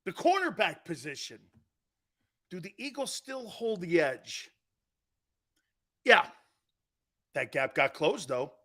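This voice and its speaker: A man's voice: sits high, sing-song, slow, pauses often, a bit bright. A middle-aged man hosting a live sports talk show, joking and rambling, laughing often as he talks. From Italian-American family.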